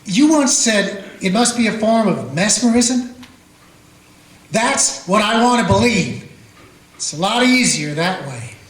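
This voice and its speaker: A man playing a steady small-town Old West lawman in a radio play, telling the story plainly in the first person. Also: sits high, a little slow, a little hiss or hum. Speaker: narrator and lawman character. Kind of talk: amateur radio play acting. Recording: echoey room with guitar music.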